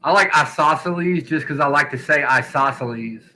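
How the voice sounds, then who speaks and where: A man's voice: a little high, moderate pace, bright, forceful tone, slight background noise, rough recording. A man hosting a casual podcast, blunt and profane, who likes to tell corny jokes. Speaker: podcast host.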